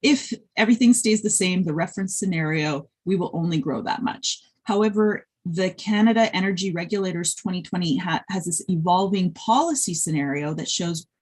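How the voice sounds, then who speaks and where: A woman's voice: fairly low pitch, brisk, few pauses, darkish tone, slightly rough. A middle-aged woman whose first language is Polish, presenting a report at an online conference over a video call, friendly and full of fillers and restarts. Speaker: conference speaker, industry researcher.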